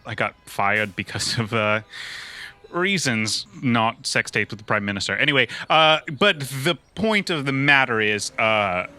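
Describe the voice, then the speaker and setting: A man's voice: medium pitch, animated, measured pace, sharp tone, soft background music. A man playing a tabletop role-playing game, improvising narration and dialogue in a casual, wry and sweary way.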